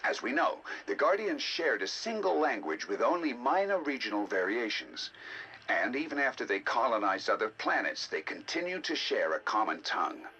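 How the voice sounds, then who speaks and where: An actor playing a learned, eager researcher, narrating game audio logs in a measured, articulate, intrigued manner. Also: male voice, mid-range voice, very animated, bright, forceful tone, slightly rough, highs cut off, heavily acted. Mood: measured, scholarly. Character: learned male researcher, eager and fascinated by alien ruins.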